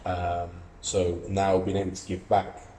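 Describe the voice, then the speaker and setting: A man's voice: low voice, monotone, a little slow, mellow, reverberant, a little hiss or hum. A young man from Russia, an amateur boxer and student, giving earnest, reflective interview answers with many fillers and restarts. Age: young adult.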